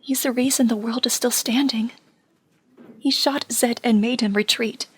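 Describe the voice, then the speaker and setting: A grieving young heroine of a superhero audio drama, acted by a woman. The voice sits a little high with a breathy, husky edge. The delivery is earnest and hesitant. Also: steady tone, moderate pace. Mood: pleading, sad.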